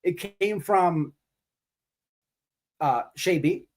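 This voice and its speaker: A man's voice: sits high, extremely slow, a little mellow. A man hosting a livestream, giving casual, mocking commentary in a profane, repetitive, rambling way.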